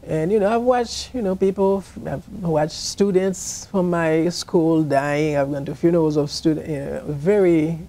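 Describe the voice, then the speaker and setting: A middle-aged woman from Haiti, a nurse practitioner, speaking casually and a little haltingly as an interview guest. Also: sits low, dark tone. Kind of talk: casual personal storytelling in an interview.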